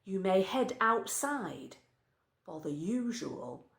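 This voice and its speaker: Woman reading stories, slow and echoey. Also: medium pitch, sing-song, a bit bright. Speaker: reader of short stories. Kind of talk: expressive scripted story reading. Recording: echoey, roomy indoor sound.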